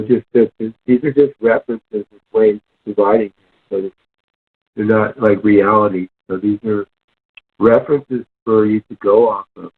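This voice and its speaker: A man giving informal spoken reflections in a discussion, hesitant and repetitive as he searches for words. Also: fairly low pitch. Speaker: discussion participant. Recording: muffled, phone-like narrow sound.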